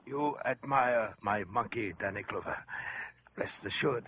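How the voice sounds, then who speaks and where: An actor playing a fat, sinister collector who talks in a florid, mocking and formal way, in 1940s radio acting, on a lo-fi old recording. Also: male voice, lowish voice, unhurried, a bit bright, slight background noise. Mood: silky, mocking. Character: sinister suave fat collector, man, middle-aged.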